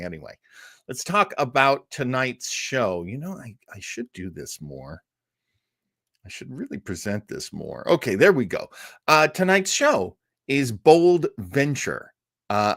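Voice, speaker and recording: A man's voice: mid-range voice, dry recording, clean studio sound. A man hosting a live show in a casual, chatty, self-deprecating way, often wandering off on asides. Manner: animated, giggly, self-deprecating, digressive. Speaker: show host. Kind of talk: casual live-stream chat.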